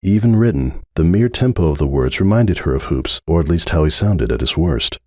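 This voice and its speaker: Man, dramatic story narration, mellow dark tone, animated intonation, close mic. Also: sits low, fairly quick, no room sound. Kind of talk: dramatic fan-fiction audiobook narration.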